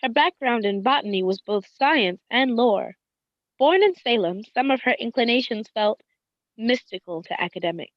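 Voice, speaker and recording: Actress doing wistful devoted wife monologue, radio play, poetic and reverent, dry slightly dull sound. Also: female voice, a little high, lively intonation, normal speed, dry recording, natural voice. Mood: wistful, adoring. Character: adoring, wistful wife remembering her beloved.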